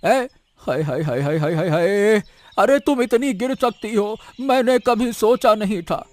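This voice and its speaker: A man's voice: sits high, expressive, measured pace, soft background music. A man voicing an appalled old village husband in a cartoon, scolding and lamenting. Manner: lamenting, reproachful.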